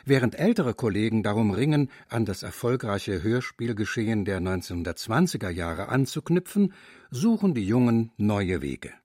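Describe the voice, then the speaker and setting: A man reading the narration of a radio documentary feature in a measured, clear way. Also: lowish voice, a little mellow, no echo, clean studio sound.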